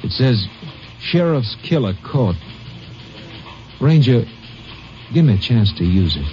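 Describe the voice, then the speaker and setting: Mellow, world-weary newspaper editor. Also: male voice, mid-range voice, very animated, takes it slowly, soft background music. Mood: earnest. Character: world-weary, civic-minded small-town newspaper editor. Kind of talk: 1950s radio drama acting. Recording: muffled old-time radio broadcast.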